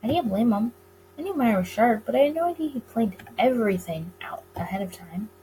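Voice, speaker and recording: Female game reader, slightly high voice, narrating a story with small stumbles, faint background noise. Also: animated, a little fast, dark tone, low-quality recording. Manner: stumbles over words and rereads them. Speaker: let's-play reader of a visual novel. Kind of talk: reading a visual novel aloud, narration and character lines.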